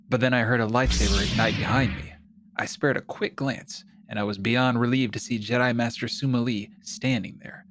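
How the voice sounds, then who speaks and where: A man's voice: lowish voice, background noise, lightly acted. A man playing an earnest, gutsy fighter who narrates an adventure in a plain-spoken, conversational way. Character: earnest, gutsy male fighter with droid sidekick. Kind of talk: first-person audio drama narration.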